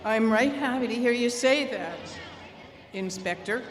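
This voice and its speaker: A woman's voice: on the high side, expressive, unhurried. In a reverberant hall with some background noise, a woman acts out a plain-spoken older widow for a radio play. She talks in folksy, rustic phrases with wry good humour. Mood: grateful. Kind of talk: old-time radio drama acting.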